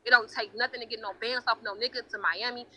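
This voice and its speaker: A woman's voice: a little high, fairly even intonation, fast, sharp tone, low-quality recording. A woman in her late twenties talking heatedly and casually on a livestream, emphatic, defensive and swearing freely. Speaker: livestream host.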